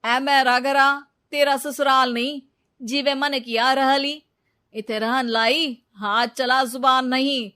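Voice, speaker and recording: A woman's voice: high-pitched, fairly even intonation, slow, bright, forceful tone, pitched above the performer's own voice, exaggerated voice. A woman voicing a callous, bossy young boyfriend with a Punjabi accent, scolding and dismissive, in a story. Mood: scornful.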